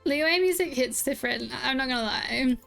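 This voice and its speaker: A woman's voice: high-pitched, normal speed, creaky, music in the background. A woman streamer giving casual, jokey reaction commentary over a game and talking to her viewers. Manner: chatty, jokey, talks to viewers. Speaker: gaming streamer.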